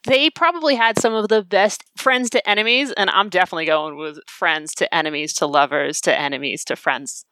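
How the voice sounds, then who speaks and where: A woman's voice: lowish voice, brisk, hardly any pauses, bright, forceful tone, dry recording, low-quality recording. A woman with a Boston accent hosting a podcast in casual chat, joking and laughing often. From Boston, USA. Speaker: podcast host.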